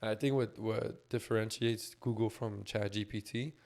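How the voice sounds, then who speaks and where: A man co-hosting a laid-back podcast talks in a fairly level, even tone with little rise and fall. His voice is a bit hoarse and scratchy, like it's only just coming back. He explains things loosely, with plenty of fillers along the way. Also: on the low side, moderate pace. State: hoarse, recovering lost voice. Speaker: podcast co-host. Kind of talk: casual podcast chat.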